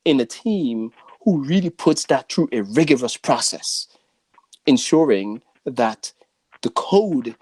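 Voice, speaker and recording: A man hosting a podcast in a casual, conversational way, speaking haltingly and often dropping in filler phrases. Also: mid-range voice, darkish tone, no echo. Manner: halting, frequent "you know". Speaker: podcast host.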